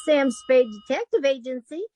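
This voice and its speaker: A woman's voice: high-pitched, normal speed, heavy background noise, lightly acted. A woman playing a meek, eager detective's secretary, solicitous and naive, in 1940s radio style. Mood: eager, concerned.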